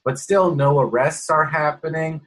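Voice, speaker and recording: A man's voice: fairly high pitch, a little slow, fairly bright tone, rough recording. A man chatting casually in a wry, rambling way. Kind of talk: casual conversation.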